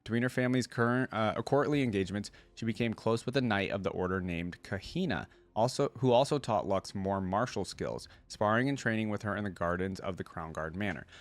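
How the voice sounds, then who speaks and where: A man's voice: fairly low pitch, brisk, few pauses. A man hosting a reaction video, reading fantasy lore aloud and adding casual commentary.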